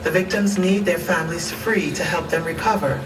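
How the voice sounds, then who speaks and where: A woman's voice: lowish voice, steady tone, moderate pace, over music. A woman reading scripted narration for a radio drama. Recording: echoey, distant, clear background noise.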